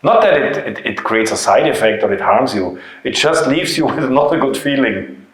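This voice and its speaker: A man's voice: mid-range voice, lively intonation, normal speed, fairly bright tone. A man with a German accent, a native German speaker, presenting informally to a seminar audience in a didactic way, with short emphatic phrases and rhetorical questions. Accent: German-speaking European. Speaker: seminar presenter, company founder. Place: indoor seminar room. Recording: very echoey, reverberant room.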